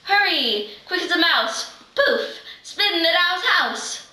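A teenage girl playing an excitable, proud witch who chants spells dramatically in a readers' theater reading. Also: very high-pitched, takes it slowly, exaggerated voice. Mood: chanting. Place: living room, far camera microphone. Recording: roomy, distant microphone.

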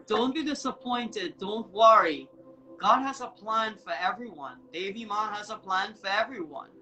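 A woman's voice: medium pitch, moderate pace, sharp tone. A woman hosting a casual live online show, speaking in an effusive, encouraging way and often repeating herself. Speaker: show host. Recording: music in the background. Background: music.

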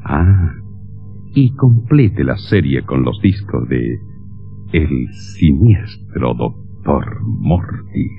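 Formal radio man reading an announcement, super deep and slow, muffled old recording, faint music. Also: sing-song, gravelly. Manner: formal, courteous. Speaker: presenter. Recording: dull, band-limited old radio recording.

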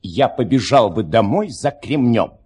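An actor playing a simple, bewildered count in a theatrical radio play style. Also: male voice, mid-range voice, animated, unhurried, a little mellow, breathy edge. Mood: puzzled. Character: simple, bewildered count, a sceptical newcomer guest.

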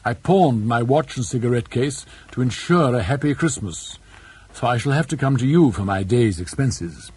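A man's voice: mid-range voice, animated, moderate pace, darkish tone, breathy edge. A man voicing a dissolute, sulky young aristocrat in an audiobook, with Received Pronunciation and a languid, defiant manner. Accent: English Received Pronunciation.

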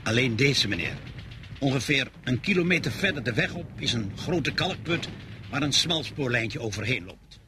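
A man playing a dutiful front-line lieutenant in a radio drama, reporting in crisp military fashion. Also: mid-range voice, expressive, moderate pace, lightly acted. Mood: dutiful, alert. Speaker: actor in a radio play. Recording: slightly noisy old radio recording.

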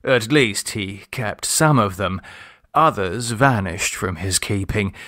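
A man reading a literary short story aloud in a measured, expressive storytelling manner. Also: on the low side, dry recording, studio quality. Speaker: audiobook reader. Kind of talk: scripted literary story narration.

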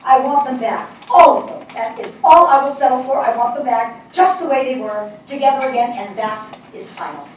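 An older woman acting a grieving, resolute New Yorker in a dramatic stage reading, speaking in emphatic, deliberate short phrases. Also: high-pitched, animated. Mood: angry. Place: indoor theatre stage. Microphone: distant camera. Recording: echoey stage, distant mic, faint noise.

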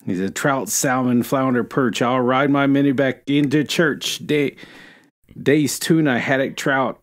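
A man's voice: mid-range voice, a little slow. A man co-hosting a podcast, retelling a book and reading from it aloud. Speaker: podcast co-host.

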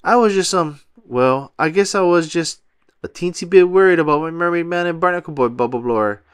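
A man voicing an excitable, fussy, anxious cartoon sea sponge in a children's storybook, eager and earnest. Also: on the high side, fairly quick, smooth, no echo, clean studio sound, voice pushed up, lightly acted.

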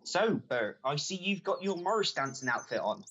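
Woman as a low-voiced joking village bailiff. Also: sing-song, moderate pace, fairly bright tone, muffled recording, a little hiss or hum. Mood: jovial. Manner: chummy, comic patter. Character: cheery joking village bailiff and Morris man. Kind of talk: radio pantomime acting.

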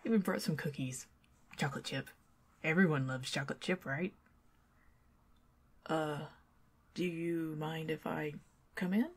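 A woman with a Southern American accent doing an ASMR roleplay as a chatty, teasing neighbor who talks to the listener and drifts into soft whispers. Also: deep voice, a little slow, long pauses, breathy edge. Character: friendly talkative young woman neighbor.

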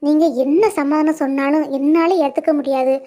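A woman's voice: sits high, steady tone, fairly quick, warm tone, natural voice. A woman voicing an anxious middle-aged mother who argues in an upset, protective way. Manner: upset, pleading.

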